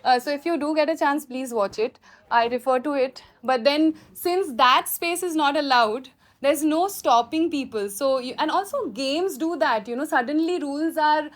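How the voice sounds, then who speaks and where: A woman filmmaker with an Indian accent answers questions off the cuff in a high-pitched voice. She explains through animated anecdotes, recounting what people said and did. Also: moderate pace. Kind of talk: spontaneous question-and-answer talk.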